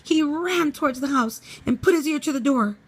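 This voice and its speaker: A woman narrating a children's storybook in a measured, expressive way. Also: high voice.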